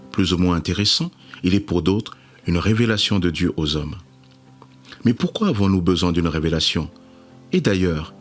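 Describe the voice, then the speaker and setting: Deep-voiced male promo narrator. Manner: measured, declamatory.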